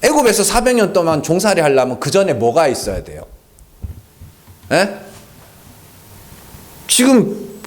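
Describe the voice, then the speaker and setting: Animated, high-pitched male pastor preaching. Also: a little slow, long pauses. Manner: conversational, peppered with rhetorical questions to the congregation; emphatic and animated. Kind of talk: sermon at a Friday prayer meeting. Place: church sanctuary.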